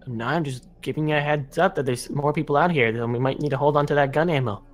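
A man's voice: on the high side, fast, lightly acted. A man playing an earnest, practical young son who survived a plane crash, in a casual improvised game roleplay. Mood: concerned, explaining.

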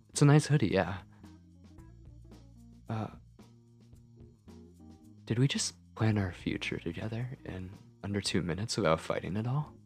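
A man's voice: lowish voice, breathy edge, heavily acted. A man playing a shy, obsessive lovestruck man on a date in an ASMR roleplay, speaking in a hesitant, intimate, flustered way. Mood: intense, devoted.

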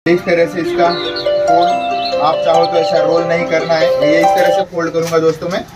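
A man's voice: fairly high pitch, monotone, moderate pace, over loud music. A man in his thirties, a laundry shop owner, casually explaining a task step by step to his viewers as friends, recorded indoors in a small shop. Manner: chatty, keeps addressing viewers as friends. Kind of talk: casual how-to demonstration. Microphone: camera mic at a distance. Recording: distant mic with street and traffic noise.

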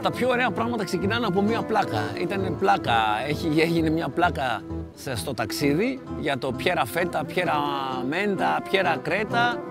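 A man's voice: a little high, expressive, fast, music in the background. A man talking casually as a documentary interviewee.

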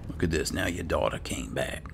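A man doing casual gameplay roleplay commentary in a low-key, muttering way. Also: expressive, moderate pace, creaky, noisy background. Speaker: gamer playing and narrating a roleplay. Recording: music behind the voice. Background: game music.

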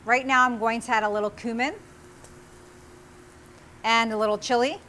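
A woman's voice: on the high side, steady tone, moderate pace, sharp tone, slight background noise. A woman in her forties hosting a cooking show, giving friendly step-by-step instructions as she cooks in a small studio kitchen on a lapel mic. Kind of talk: cooking demonstration.

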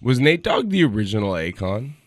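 Male podcast co-host, 30s, low voice, laid-back, hums beats, close dry studio mic. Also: very animated, a little fast, no echo. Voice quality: low-pitched voice. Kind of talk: casual chat. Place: small radio studio. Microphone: studio mic on arm, headphones.

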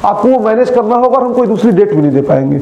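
A man's voice: high-pitched, animated, quick pace, gravelly, dark tone, roomy sound, muffled recording. A young man, a coaching teacher, chatting casually to his students and speaking to them directly, filmed indoors in front of a screen.

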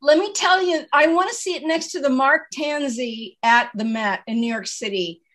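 High-voiced effusive older woman. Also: moderate pace, fairly bright tone. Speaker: audience member, fellow writer. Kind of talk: casual video-call chat. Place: home study, on a video call. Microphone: video call computer mic.

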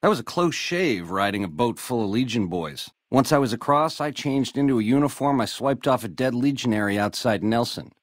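An actor playing a slick, cocky American casino gangster boss in a video game, swaggering through retro lounge slang. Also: male voice, mid-range voice, expressive, a little fast, hardly any pauses, dry recording, clean studio sound, natural voice. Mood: boastful, reminiscing. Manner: swaggering retro lounge-lizard slang, calls people baby. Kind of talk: video game character dialogue.

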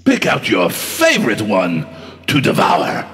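An actor playing an exasperated, centuries-old zombie colonel in a comic horror audio drama, with a theatrical, menacing delivery. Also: male voice, lowish voice, wildly swooping pitch, a little slow, a bit bright, soft background music, heavily acted. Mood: sly, scheming. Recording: long reverberant hall echo.

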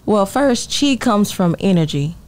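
A woman's voice: mid-range voice, expressive, unhurried, a little mellow. A young woman, chatting casually as an interview guest, close on a podcast mic. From Marshall, Texas, USA. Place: small indoor podcast studio. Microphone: close podcast mic on stand.

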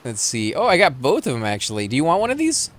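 A man's voice: mid-range voice, wildly swooping pitch, fairly bright tone, slight background noise. A man with a Texan accent hosting a casual let's-play, chatting and rambling as he plays. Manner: chatty, rambling, quick to laugh. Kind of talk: casual gameplay commentary.

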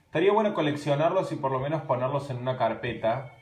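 A man's voice: mid-range voice, fast, soft background music. A man in his thirties with an Argentine accent, chatting casually and animatedly on a live stream from his home kitchen, filmed on a phone held at arm's length. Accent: Argentine Spanish. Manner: chatty, animated, gesturing while talking. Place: home kitchen at night, indoors. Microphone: phone camera at arm's length. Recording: roomy, echoey room.

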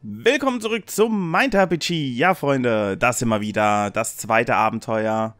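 A man's voice: mid-range voice, measured pace, fairly bright tone, no echo, faint music behind. A man casually hosting and game-mastering an online tabletop role-playing stream.

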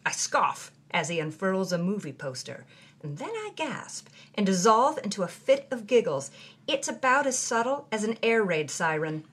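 A woman hosting a story podcast, reading journal entries in a wry, expressive way. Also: medium pitch, normal speed, breathy edge. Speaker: host and narrator.

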